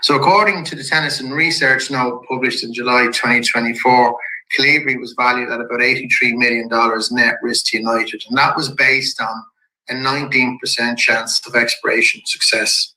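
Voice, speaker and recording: A man with a croaky voice from a head cold, giving a measured presentation to shareholders. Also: medium pitch, steady tone, sharp tone, echoey room, poor audio. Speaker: company executive presenting to shareholders. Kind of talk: investor presentation.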